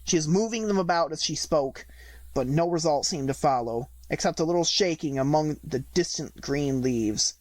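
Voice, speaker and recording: A woman reading a storybook aloud as an audiobook narrator, in an expressive scripted style. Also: low-pitched, moderate pace, dry recording.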